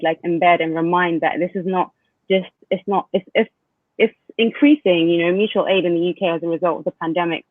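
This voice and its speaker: A man hosts an online panel, talking in a casual, halting way with many restarts. His voice sits a little high. It comes through a tinny, phone-like line with a dry sound. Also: no echo. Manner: hesitant, restarts often, many fillers. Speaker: panel host. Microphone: video call.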